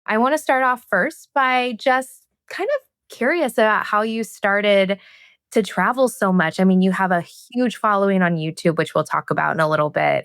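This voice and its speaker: Woman, mid-range pitch, conversational interviewer, dry recording. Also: creaky, no room sound. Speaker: podcast host, interviewer.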